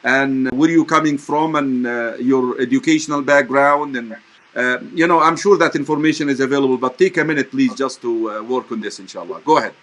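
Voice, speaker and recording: A man's voice: medium pitch, brisk, a bit bright. A man, an imam, hosting a live online chat, talking hesitantly with lots of fillers. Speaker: imam and host. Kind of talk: casual live-stream interview.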